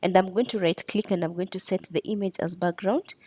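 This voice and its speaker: A woman's voice: lowish voice, fairly even intonation, brisk, dark tone, no room sound. A woman presenting a step-by-step screen tutorial in an instructional way.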